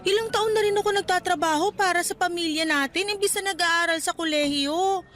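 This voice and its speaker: Very high-voiced, pleading Filipino daughter. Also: female voice, brisk, fairly bright tone. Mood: hurt, pleading. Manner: plaintive, pleading. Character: hurt, pleading young adult daughter, respectful but protesting. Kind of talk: Filipino radio drama acting.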